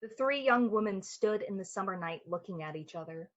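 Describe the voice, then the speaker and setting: A woman's voice: mid-range voice, animated, a little fast, close to an everyday voice. A woman narrating a suspenseful story in the manner of a 1940s radio play. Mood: measured suspense. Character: literary storyteller of a suspenseful summer-night tale. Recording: slightly muffled, music underneath. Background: music.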